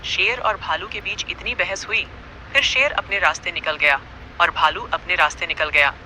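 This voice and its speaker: A man's voice: high voice, steady tone, normal speed, pushed and bright, slight background noise, poor audio. A man narrating a scripted animal fable as a storyteller.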